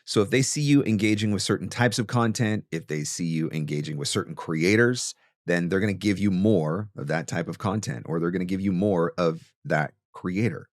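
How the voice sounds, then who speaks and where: A man's voice: sits low, moderate pace, no echo, studio quality. A man hosting a podcast, giving marketing advice in an emphatic, conversational way and speaking straight to the listener. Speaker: podcast host; voice actor.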